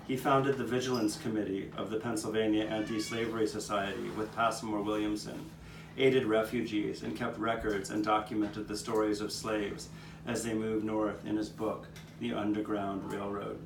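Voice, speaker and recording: A low-voiced man narrates history as an eyewitness in a live puppet show. He is heard from some way off, with roomy echo and clear background noise. The delivery is that of a storyteller addressing onlookers. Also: level tone, moderate pace. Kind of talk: first-person storytelling of local history, performed. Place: outdoor courtyard performance. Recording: roomy, distant, noisy live courtyard recording.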